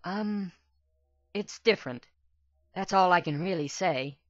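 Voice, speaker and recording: A man voicing an earnest, soft-spoken young female pegasus pony with a hesitant manner for a storybook. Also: high voice, a little slow, no room sound, muffled recording, pitched above the performer's own voice.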